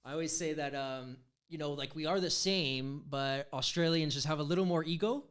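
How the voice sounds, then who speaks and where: A man's voice: fairly high pitch, moderate pace, clear, resonant tone. Easygoing man from New Zealand. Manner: relaxed, easygoing, hesitant with fillers. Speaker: guest DJ. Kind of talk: casual interview chat.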